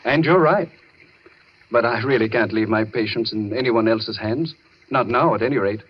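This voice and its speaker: An actor playing a weary, earnest asylum doctor in a radio drama, speaking with measured authority. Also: male voice, mid-range voice, lightly acted. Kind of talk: vintage radio drama acting. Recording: dull, noisy old radio recording.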